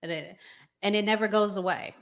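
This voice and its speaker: A woman's voice: mid-range voice, steady tone, fairly quick. A middle-aged woman hosting a casual, conversational talk about relationships. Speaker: talk show host.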